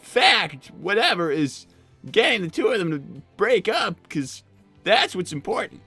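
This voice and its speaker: Bright, nervous, stammering cartoon fox boy, acted out. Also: medium pitch, very animated, a little slow, slightly rough, soft background music, heavily acted. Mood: earnest, plotting. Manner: stammering, rambling, hedging. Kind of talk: improvised in-character cartoon roleplay livestream.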